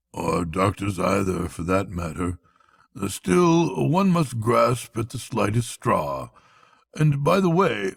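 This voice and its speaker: A man voicing a languid storybook toad, feeble and murmuring, playing the mournful martyr as if gravely ill. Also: on the low side, no echo, full character voice. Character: languid, self-pitying male toad feigning grave illness.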